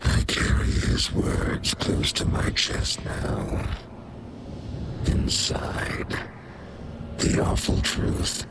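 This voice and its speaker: An actor playing an eerie, cryptic man in a video game, with a breathy, voiceless delivery. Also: extremely slow, pauses often. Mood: ominous. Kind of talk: video game cinematic acting. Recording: loud rumbling noise behind the voice.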